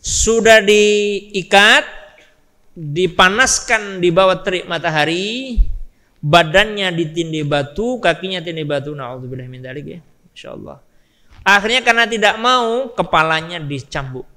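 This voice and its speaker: A man's voice: high-pitched, measured pace, sharp tone. A man preaching in a storytelling style, emphatic, repeating phrases and putting questions to his listeners. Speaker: Islamic preacher. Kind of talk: storytelling sermon to a live congregation. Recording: long hall reverb.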